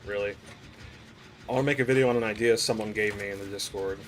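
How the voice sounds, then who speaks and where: Hoarse, laid-back man streaming a game. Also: lowish voice, fairly even intonation, quick pace, slight background noise. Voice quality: raspy throat. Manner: laid-back, chatty, slangy asides to chat. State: hoarse, recovering from a cold. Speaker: gaming streamer. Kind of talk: casual live gameplay commentary.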